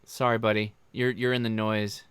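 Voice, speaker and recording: Male American livestream host, crisp and bright delivery, informal and chatty, speaking straight to viewers. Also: lowish voice, flat delivery, moderate pace, no room sound, studio quality. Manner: informal, joking, addresses viewers directly. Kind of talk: casual livestream chat, answering viewer questions.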